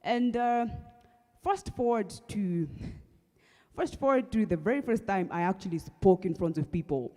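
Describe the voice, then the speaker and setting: A young Kenyan woman with a husky voice, earnestly telling her personal story at an awareness event, with halting restarts. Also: mid-range voice, lively intonation, warm tone. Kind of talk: personal testimony talk. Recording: long hall reverb.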